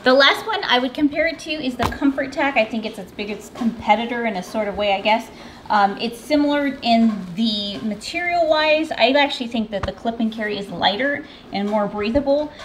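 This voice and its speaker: A woman's voice: a little high, animated, moderate pace, a little hiss or hum, poor audio. An American woman in her thirties giving a casual, conversational product review to camera as host. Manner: conversational, informal. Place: backyard patio and home kitchen.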